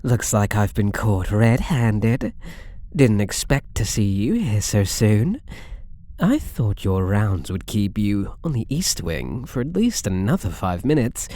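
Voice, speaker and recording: Guy doing a british catboy thief for asmr roleplay, possessive n teasing, slow soft-toned mellow voice, super close dry sound. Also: fairly low pitch, sing-song, no room sound, exaggerated voice. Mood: smug, playful. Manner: coy, playful, mock-innocent purring taunts.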